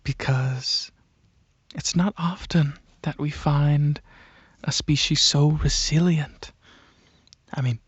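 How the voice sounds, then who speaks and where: A man as a husky, slow dragon prince. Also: fairly high pitch, dry recording, lo-fi sound. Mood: fascinated. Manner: hesitant, musing, gently explaining. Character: regal, courteous dragon alien crown prince and scientist. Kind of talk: soft-spoken ASMR roleplay ramble.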